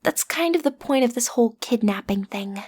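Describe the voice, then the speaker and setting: A woman's voice: fairly high pitch, lively intonation, normal speed, darkish tone, breathy edge. A woman playing a cute teenage girl who is training to be a supervillain, sassy and theatrical but a little awkward, in a roleplay audio drama. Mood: proud, explaining. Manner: theatrical, sassy, hesitant when breaking character.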